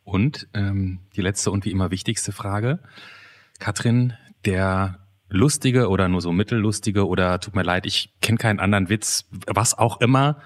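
A man hosting a podcast, asking questions in a casual, informal, slightly halting way. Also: lowish voice. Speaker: podcast host. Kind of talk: casual interview.

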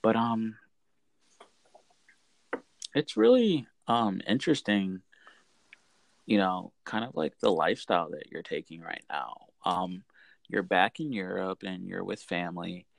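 Male interviewer: low register, creaky, casual, halting delivery, close dry sound. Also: expressive, dry recording. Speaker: interviewer host.

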